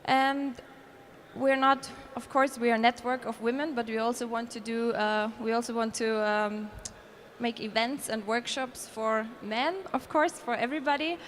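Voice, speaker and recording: High-voiced Austrian woman presenting. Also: slight background noise. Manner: earnest, with restarts and self-corrections. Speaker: conference presenter. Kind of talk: conference presentation. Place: conference hall.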